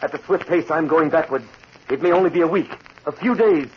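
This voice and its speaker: An actor playing a driven young scientist in a 1940s radio drama, speaking in an earnest, melodramatic way. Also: male voice, a little high, a little fast, close to an everyday voice. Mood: anguished, desperate. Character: driven young male scientist, increasingly desperate, turning into ape. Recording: muffled, noisy 1940s radio recording.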